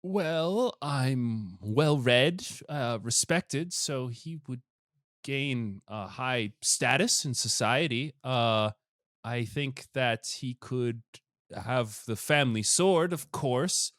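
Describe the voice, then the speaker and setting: A man's voice: medium pitch, animated, slow, fairly bright tone, no room sound, studio quality. A man voicing an awkward, bookish young nobleman who stammers when flustered.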